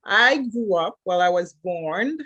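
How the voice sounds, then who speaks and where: A middle-aged woman from Haiti, a native French speaker, recounts her life story in a slow, measured way. Her voice sits fairly low, and she often laughs softly between thoughts. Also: lively intonation, dull audio. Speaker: guest. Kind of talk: reflective personal storytelling.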